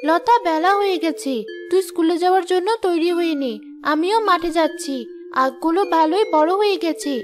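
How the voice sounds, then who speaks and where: A hardworking village mother from a fairy-tale cartoon, acted by a woman. Her voice is very high, earnest and caring with a worried edge. Music plays clearly behind her. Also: brisk.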